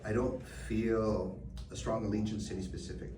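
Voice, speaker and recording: Reflective middle-aged man, lowish voice. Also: level tone, normal speed, crowd noise. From Canada. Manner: reflective, many fillers and restarts. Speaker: guest. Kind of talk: conversational interview answers. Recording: roomy, distant mic, background noise.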